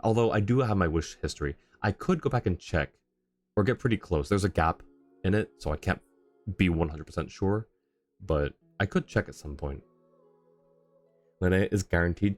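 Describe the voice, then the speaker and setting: A man's voice: sits low, darkish tone, no echo. A man chatting casually on a game livestream, thinking aloud and rambling as he reads chat, with music behind him. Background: video game music.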